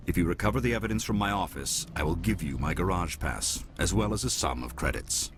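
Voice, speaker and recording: An actor playing a shrewd, formal alien businessman in a video game, speaking in measured, precise phrases. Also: low voice, lively intonation. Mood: calm, businesslike. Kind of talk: scripted video game dialogue. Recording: music behind the voice.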